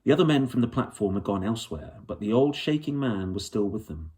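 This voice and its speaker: A man with a fairly low voice reads a children's novel aloud. He narrates the scene as a storyteller would in an audiobook. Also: animated, a little fast, a little mellow. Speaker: audiobook reader.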